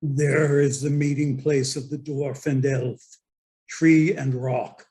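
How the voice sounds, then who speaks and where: Man playing a solemn, very slow fantasy wanderer. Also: mid-range voice, little pitch movement, mellow, close to an everyday voice. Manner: solemn, drawn-out delivery. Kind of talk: script table read.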